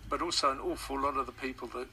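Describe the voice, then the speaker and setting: Male author guest, mid-pitched and bright, hesitant, thoughtful, repeats words. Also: quick pace, creaky, poor audio. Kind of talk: radio interview.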